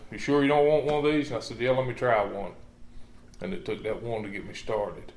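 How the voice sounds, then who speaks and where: A middle-aged man, from southwestern Virginia, giving a rambling personal testimony with dry humor in a church. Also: on the low side. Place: church sanctuary. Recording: echoey church room.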